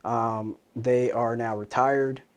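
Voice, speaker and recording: Man, thirties, relaxed, conversational, fillers and restarts, interview guest. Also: medium pitch, slow pace. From born in Hong Kong, raised in New Jersey. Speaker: interview guest, commercial real estate advisor.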